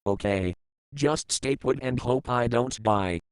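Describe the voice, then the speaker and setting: A man's voice: mid-range voice, slow pace, clean tone, no room sound, natural voice. A man playing a blunt facility worker and husband in an amateur audio drama. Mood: urgent, concerned. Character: male containment facility staffer, blunt, worried husband.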